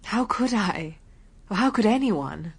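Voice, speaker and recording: An actress playing a gentle, enigmatic young woman, simple and wistful, in a radio drama. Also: mid-range voice, expressive, unhurried, breathy edge. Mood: resigned, wistful.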